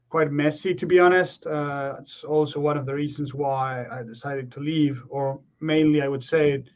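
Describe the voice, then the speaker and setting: A man, his voice sitting a little high for a man. He answers in a relaxed, reflective way and pauses often on fillers. The remote call audio is slightly dull and lo-fi. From Argentina. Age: 30s. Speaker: guest, professional rugby player. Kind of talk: remote podcast interview.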